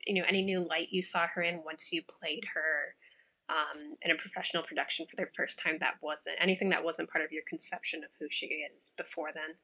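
Interviewer, woman, bright voice, casual questions. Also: sits low, steady tone, a little fast, breathy edge, low-quality recording.

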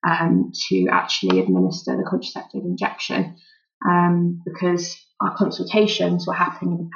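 A woman doctor in a relaxed interview, answering thoughtfully with frequent "um" fillers. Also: lowish voice, creaky, lo-fi sound. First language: English. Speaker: volunteer doctor, guest.

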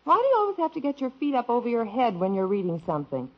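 Dry-humored 1950s mom, lo-fi broadcast. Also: female voice, fairly high pitch, huge pitch swings, racing. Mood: scolding. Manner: gently scolding. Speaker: mother. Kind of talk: 1950s radio sitcom acting. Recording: dull old radio broadcast.

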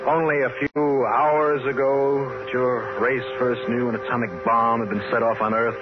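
A man's voice: mid-range voice, little pitch movement, normal speed, background noise, natural voice. An actor playing a plainspoken, sardonic prospector telling his story in a 1960s radio drama. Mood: probing, curious. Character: wry, tough male prospector narrating his alien encounter. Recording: dull 1960s radio recording with music.

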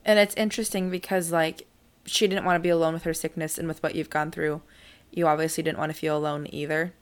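A woman's voice: deep voice, little pitch movement, quick pace, smooth. A young woman co-hosting a podcast, musing in a tentative, reflective way with many "like"s. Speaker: podcast co-host. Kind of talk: casual podcast chat.

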